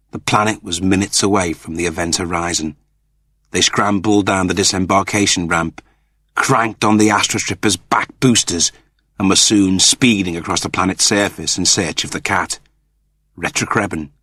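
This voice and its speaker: A man's voice: deep voice, moderate pace, sharp tone, husky, dry recording, clean studio sound. A man reading a science fiction novel aloud as a narrator, with theatrical, intense storytelling. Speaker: audiobook narrator.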